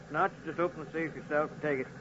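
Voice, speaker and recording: A man playing a genial, smooth-talking businessman in 1940s radio acting style, hearty and reassuring. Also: on the high side, steady tone, very fast, close to an everyday voice. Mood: hurried. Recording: muffled, noisy 1940s radio recording.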